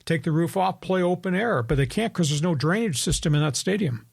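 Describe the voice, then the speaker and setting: Gravelly older man, sports radio host. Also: fairly high pitch, animated, brisk, darkish tone, no echo, clean studio sound. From New York. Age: 70s. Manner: storytelling, opinionated, rambling with restarts. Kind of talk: sports talk radio chat.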